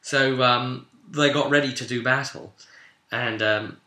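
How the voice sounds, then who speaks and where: A man's voice: medium pitch, fairly even intonation, a little slow, sharp tone. A British man recapping a tabletop role-playing session as game master, telling the story informally and explaining things with frequent asides. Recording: echoey room.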